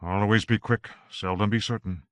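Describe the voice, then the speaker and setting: A determined hero who speaks urgently in a low voice under strain, as a male narrator reads him. It stays close to the narrator's own voice. The recording is dry and close. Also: fairly even intonation, moderate pace, no room sound, lightly acted. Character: Harry, a strained determined man.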